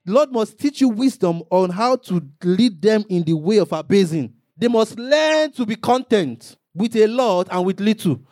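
A man's voice: high-pitched, expressive, unhurried, hardly any pauses, darkish tone, no room sound, clean studio sound. A man, a pastor preaching a sermon, rising into emphatic bursts and acting out little quotes.

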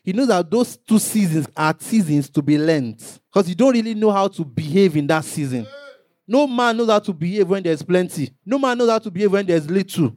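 A man's voice: high-pitched, expressive, fairly quick, a little mellow, no echo. A man, a pastor preaching a sermon, rising into emphatic bursts and acting out little quotes.

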